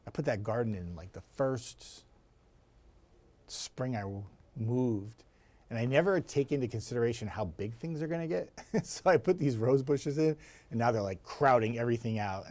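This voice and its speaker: A man with a raspy voice, a TV meteorologist chatting casually and rambling to viewers. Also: mid-range voice, darkish tone. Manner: chatty, rambling, laughs easily.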